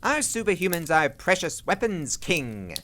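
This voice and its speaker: An actor playing a cold, calculating villain doctor in a radio play, formal and deliberate, on a slightly noisy recording. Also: mid-range voice, sing-song, a bit bright.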